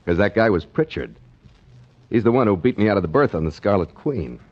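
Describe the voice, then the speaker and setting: A man's voice: on the low side, animated, fairly quick. An actor playing a cocky, scheming waterfront crook with slangy tough-guy talk in 1940s radio style. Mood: smooth, scheming. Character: scheming, cocky waterfront crook sweet-talking his moll. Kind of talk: 1940s radio crime acting. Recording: muffled 1940s radio recording.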